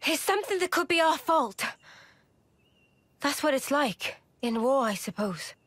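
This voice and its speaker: A woman's voice: high-pitched, animated, a little slow, a bit bright, dry recording. An actress playing an earnest young female soldier in a video game. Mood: sorrowful.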